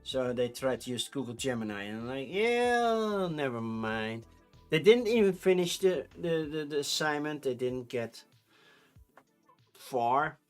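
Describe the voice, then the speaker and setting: A man's voice: medium pitch, sing-song, unhurried, clean tone, soft background music. A man in his thirties with a Dutch accent, rambling casually on a live stream. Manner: rambling, chatty, with frequent restarts and laughs.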